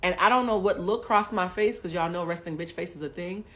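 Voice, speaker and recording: A woman in her forties hosting a livestream, telling a personal story bluntly and with plenty of profanity, swinging between hushed asides and loud bursts. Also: on the low side, fairly quick. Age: 40s. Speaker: livestream host. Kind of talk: candid storytelling to a live audience.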